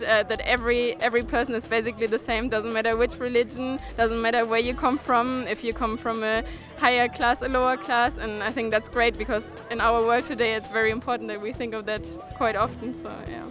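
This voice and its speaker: A woman's voice: a little high, level tone, fairly quick, few pauses, a bit bright, faint music behind. A woman in her twenties giving a spoken testimonial into a handheld microphone. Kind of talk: on-camera testimonial. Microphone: handheld reporter microphone.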